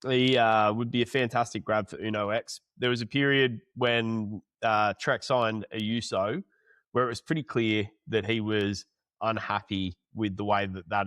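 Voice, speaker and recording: A man's voice: lowish voice, a bit bright, dry recording. A male podcast host asking long, rambling questions in a casual interview.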